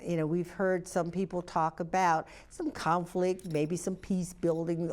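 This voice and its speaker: A woman interviewing a guest conversationally, feeling her way through questions in halting, roundabout phrases. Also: fairly low pitch, darkish tone.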